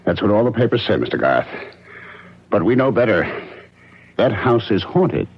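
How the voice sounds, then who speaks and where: A man's voice: lowish voice, sing-song, normal speed, breathy edge. An actor playing an ominous, knowing man in a haunted-house radio drama. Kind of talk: 1970s radio drama acting. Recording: dull vintage radio recording.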